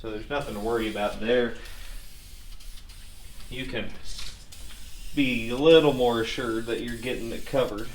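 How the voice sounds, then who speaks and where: A roomy, slightly distant recording of a man in a garage, with clear noise behind him. He narrates hands-on repair work casually, with a folksy, self-deprecating dry humor. His voice sits at an average male pitch. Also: fairly even intonation, measured pace, pauses often. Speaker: DIY car repair video host. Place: garage workshop. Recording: echoey, distant mic, clearly noisy.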